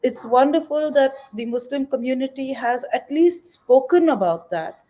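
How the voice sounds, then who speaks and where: A middle-aged or older woman answering interview questions as an activist, measured, articulate and explanatory. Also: sits high, animated, warm tone. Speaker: environmental activist, interview guest.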